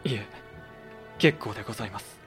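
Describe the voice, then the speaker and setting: A man's voice: fairly low pitch, brisk, fairly bright tone, faint music behind, natural voice. A man playing a composed, guarded tea master who speaks formally and deferentially in a Japanese period audio drama.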